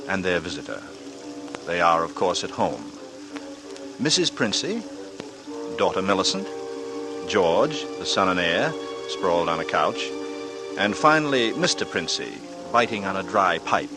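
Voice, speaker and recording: A man narrating a 1940s radio drama as host, formal, measured and theatrical in his scripted delivery. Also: fairly low pitch. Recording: old radio broadcast.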